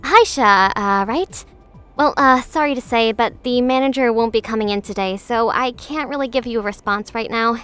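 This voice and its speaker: Lady playing a friendly anime shop girl, high n bright, perky and encouraging. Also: moderate pace, smooth, lightly acted. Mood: friendly, reassuring. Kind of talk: anime-style audio drama acting. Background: music.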